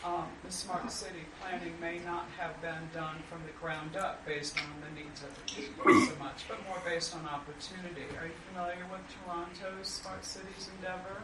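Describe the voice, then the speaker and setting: Panelist in an echoey room, far from the mic. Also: female voice, lowish voice, little pitch movement, normal speed, few pauses. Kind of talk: panel discussion remarks. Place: conference room. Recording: echoey room, distant mic, loud background noise.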